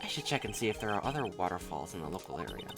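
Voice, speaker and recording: A woman with a low, expressive voice hosts a live game stream. She reads the on-screen text aloud, with music playing clearly behind her. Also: very fast.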